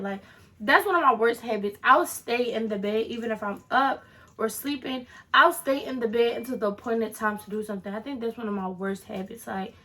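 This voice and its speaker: A chatty young woman in her early twenties answers questions straight to camera, tossing sassy asides at her viewers. Her voice sits a little high and comes across bright and forceful. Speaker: vlogger. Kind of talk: casual Q&A vlog.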